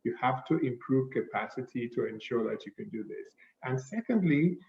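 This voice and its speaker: A man answers a panel question over a video call, speaking in measured steps and filling gaps with frequent hesitations. His voice sounds muffled and dull, as if it is coming from a bare, echoey room. His pitch sits in an ordinary middle range. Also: expressive. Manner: measured, many filled pauses. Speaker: report author answering questions.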